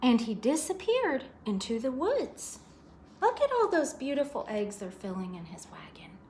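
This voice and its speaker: A woman's voice: on the high side, sing-song, moderate pace, darkish tone, slight background noise. A woman reading a picture book aloud to young children, expressively, with chatty asides and questions to her listeners.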